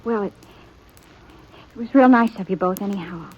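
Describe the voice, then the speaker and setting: A woman playing a sweet, humble, grateful young woman in a 1950s Western radio drama, soft and breathy, speaking hesitantly and wistfully. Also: medium pitch, moderate pace, lightly acted. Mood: wistful, resigned. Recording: dull old radio recording.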